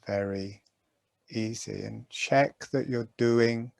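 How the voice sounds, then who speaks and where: Slow, gentle male meditation guide. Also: fairly low pitch, gravelly. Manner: slow, calm, gentle instructions with long pauses.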